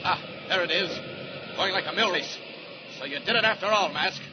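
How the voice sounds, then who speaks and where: A man's voice: high-pitched, moderate pace, natural voice. An actor playing a determined, heroic superhero in 1940s radio serial style, urgent and effortful as he talks himself through the action. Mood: urgent resolve. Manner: urgent, self-narrating, effortful. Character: determined heroic male superhero racing to save lives. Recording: muffled, noisy old radio recording.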